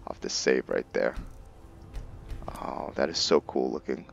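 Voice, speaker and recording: A man's voice: a bass voice, animated, measured pace, vocal fry, muffled recording. A man giving casual game commentary to viewers, rambling as he thinks aloud and explains things. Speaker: gameplay video creator, player. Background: music.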